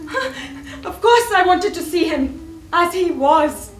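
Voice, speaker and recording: Actress as a guarded high-voiced woman, echoey stage. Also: expressive, slow pace, full character voice. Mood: anguished outburst. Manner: defensive, guarded, emphatic. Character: guarded, wounded former sweetheart, defensive and proud. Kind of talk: theatrical stage play acting. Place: theatre stage, recorded from far off. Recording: distant echoey stage recording, faint noise.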